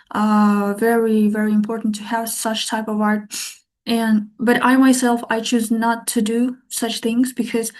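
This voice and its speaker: Soft-spoken woman artist, even tone. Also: fairly high pitch. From Kazakhstan. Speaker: artist guest. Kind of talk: podcast interview.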